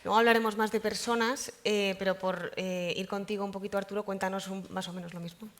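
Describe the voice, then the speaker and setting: A woman moderating a round table, asking brisk, friendly questions. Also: mid-range voice, steady tone, fairly bright tone. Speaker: panel moderator.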